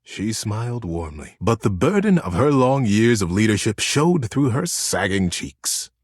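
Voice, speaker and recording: Male narrator, storybook style, earnest, dramatic, very wide pitch swings, husky edge. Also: big pitch swings, a little slow, no echo, studio quality.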